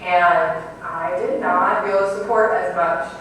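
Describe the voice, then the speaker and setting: A woman's voice: on the low side, steady tone, slow pace, a bit bright, a little hiss or hum. Young woman teacher, candid, hesitant, distant mic. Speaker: second-year teacher. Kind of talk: recorded testimonial. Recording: echoey hall from far off.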